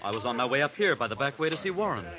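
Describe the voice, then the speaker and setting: A man's voice: medium pitch, big pitch swings, racing, a crowd in the background, close to an everyday voice. An actor playing an anxious, loyal young man, urgent and flustered, in 1950s radio drama style.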